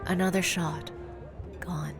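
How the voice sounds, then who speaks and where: A woman's voice: lowish voice, steady tone, takes it slowly, over music. A woman acting as the grave, brooding narrator of an audio drama, reading dramatic scripted narration. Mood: somber, measured.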